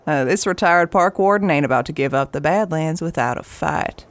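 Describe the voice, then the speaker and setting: An actress playing a tough, folksy older park warden who talks in a salty, drawling way. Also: female voice, sits low, normal speed, no room sound, close to an everyday voice. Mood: reassuring. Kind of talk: audio drama acting.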